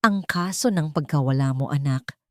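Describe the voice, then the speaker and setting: A woman's voice: low voice, normal speed, dry recording, clean studio sound, pitched below the performer's own voice, close to an everyday voice. A woman narrator voicing a grieving, devoted father in a story drama, solemn and earnest. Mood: grave. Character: grieving, devoted father revealing old family secrets.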